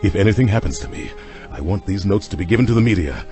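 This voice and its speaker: An obsessed vampire-hunting doctor in a radio horror comedy. His voice is a little low and crackles with fry, swinging widely as he persuades and commands with grim intensity. Music plays behind him. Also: animated, quick pace, mellow. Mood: grave, commanding. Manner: intense, urgent, dramatic.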